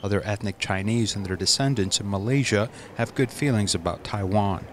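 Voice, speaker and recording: A man reading a scripted news voice-over in a measured, even way. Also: on the low side, a little hiss or hum. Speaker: news narrator.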